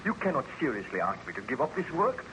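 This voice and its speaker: An actor playing a proud, obsessed young scientist in a formal, theatrical old-time radio drama style, on a noisy recording. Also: male voice, mid-range voice, sing-song, quick pace, close to an everyday voice. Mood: fervent.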